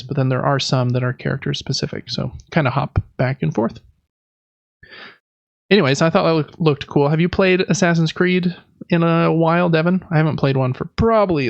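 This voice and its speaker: A man's voice: fairly high pitch, vocal fry, no room sound, lo-fi sound. A man hosting a podcast, chatting casually and informally through gaming news. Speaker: podcast host. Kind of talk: casual video game news talk.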